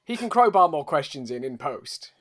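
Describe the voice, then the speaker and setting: A man with a somewhat high voice trades improvised comic lines in an audio drama. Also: very animated, moderate pace, a bit bright.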